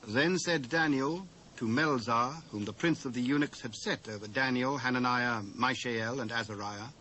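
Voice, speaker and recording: A man with a British accent narrates scripture in a formal, measured way. His pitch is medium, and the old cassette recording carries a light layer of noise. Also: bright, forceful tone. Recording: noisy old cassette transfer.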